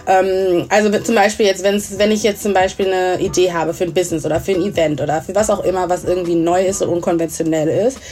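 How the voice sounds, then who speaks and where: A woman's voice: lowish voice, fairly even intonation, fast, hardly any pauses, darkish tone, soft background music. A thirty-year-old woman from Germany chatting casually as an interview guest, mixing English words into her talk. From Germany, grew up in Essen.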